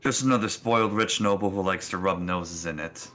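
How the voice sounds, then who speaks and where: A man plays a crude tavern bartender in a tabletop fantasy game. The voice is low and bright, halting and grudging as it passes on gossip. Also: faint music behind. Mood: gossiping, scornful. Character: gruff, gossiping, foul-mouthed tavern bartender.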